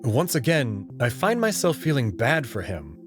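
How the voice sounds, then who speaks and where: A man reading a first-person story narration in an expressive way. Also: medium pitch, moderate pace, music in the background. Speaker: narrator and reader of a visual novel.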